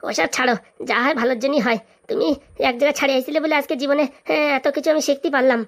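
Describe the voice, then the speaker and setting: A woman's voice: sits high, steady tone, very fast. A woman voicing a kind, forgiving nephew in a comic cartoon, earnest and reassuring.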